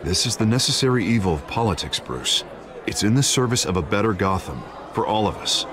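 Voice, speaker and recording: An actor playing an affable, persuasive politician in a video game, with a smooth-talking, coaxing manner. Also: male voice, on the low side, animated, brisk, crowd noise, lightly acted. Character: affable, persuasive male politician, eager to please.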